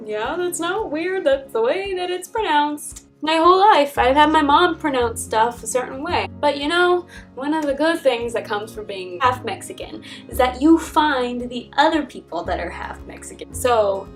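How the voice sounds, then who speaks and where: A young American woman with a fairly high voice tells personal stories in an animated, casual vlog style. She sounds a little away from the mic, with soft music behind her. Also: normal speed, few pauses. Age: young adult. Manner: animated, acts out quoted conversations. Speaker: vlogger telling personal stories. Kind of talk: casual chat to camera. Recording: distant mic, background music. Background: acoustic folk instrumental music.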